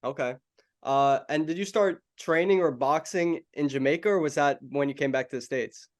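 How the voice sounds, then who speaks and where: A man's voice: a little high, moderate pace. A young adult man hosting an interview, friendly and casual, calling his guest "bro" and "man".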